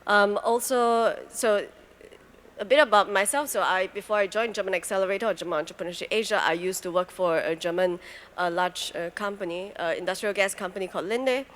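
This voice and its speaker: A woman speaking as a panelist on a conference stage, measured and full of fillers. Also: mid-range voice, fairly bright tone. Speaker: panelist, program director.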